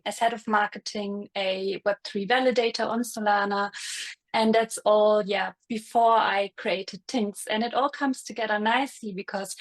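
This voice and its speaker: A woman with a German accent and a medium-pitched voice talks as an interview guest. She explains things casually and conversationally, in the manner of a startup founder, peppering her speech with small filler phrases.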